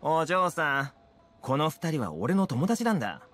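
A man voicing an easygoing, confident young fixer in a Japanese video game, talking smoothly and persuasively. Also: on the high side, very animated, measured pace, a bit bright, soft background music. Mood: easygoing, friendly. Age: young adult.

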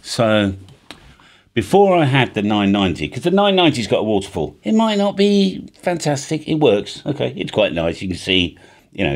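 An older British man around sixty hosting a video, chatting casually and animatedly to camera and often tagging his phrases with little check-in questions. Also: fairly low pitch. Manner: chatty, animated, tags phrases with "right?" and "all right?". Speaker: amateur radio video host. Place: small home music studio, indoors.

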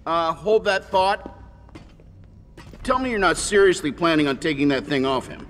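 A man's voice: fairly high pitch, animated, normal speed, fairly bright tone, a little hiss or hum. An actor voicing a wisecracking male mercenary who talks in a jokey, sarcastic way, in a game cutscene. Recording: game cutscene, reverberant, music.

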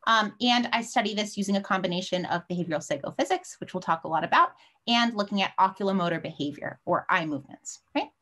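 A woman's voice: medium pitch, a bit bright. A young woman, a teaching assistant, giving an informal, upbeat self-introduction to students over a video call. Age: young adult.

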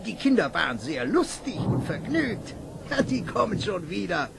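A man's voice: sits high, sing-song, normal speed, vocal fry, exaggerated voice. An actor playing a merry old townsman who rambles and exclaims in a fairy-tale radio play. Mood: merry, rambling. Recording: very noisy old audio-play recording.

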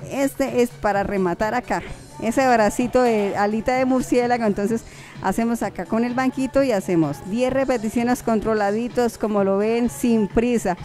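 A woman's voice: fairly high pitch, moderate pace, mellow. A young adult woman giving workout instructions as a fitness vlogger, chatty and encouraging, with lots of affectionate diminutives. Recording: background music under the voice. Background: music.

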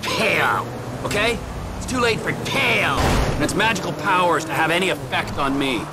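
Man acting unhinged middle-aged criminal, high-pitched, wildly swinging intonation, sardonic, theatrical, video game drama. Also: lively intonation, moderate pace, loud music behind the voice, lightly acted. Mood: angry ranting. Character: volatile, sarcastic middle-aged male criminal psychopath.

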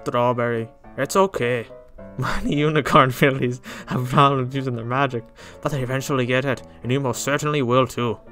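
Man as a bright, encouraging dad pony. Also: mid-range voice, fairly even intonation, normal speed, faint music behind, lightly acted. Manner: reassuring, hearty. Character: kind, encouraging father pegasus pony. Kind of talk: amateur animated audio drama.

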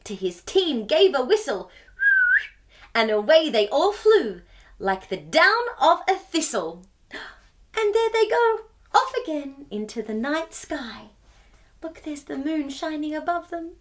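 A woman's voice: sits high, big pitch swings, takes it slowly. A woman in her thirties hosting a children's storytime, reading aloud and chatting expressively in a sing-song way to young children. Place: small furnished living room, indoors.

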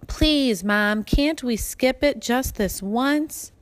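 A woman's voice: fairly high pitch, takes it slowly, a little hiss or hum, pitched above the performer's own voice. A woman voicing an eager, impatient young schoolboy from a storybook, pleading and emphatic.

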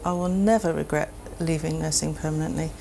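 Woman, nurse turned opera student, deep gravelly voice with wide swings, music bed underneath. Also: a little fast. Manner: frank and animated. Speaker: theatre nurse and trainee opera singer. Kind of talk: interview.

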